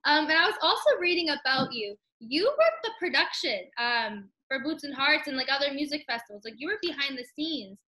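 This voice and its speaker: A young woman hosts an interview from home over a video call, chatty and upbeat as she asks her questions. Her voice sits high and sounds bright and crisp, and she leans on plenty of fillers. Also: very animated, fairly quick, few pauses, poor audio. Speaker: host. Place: small room at home.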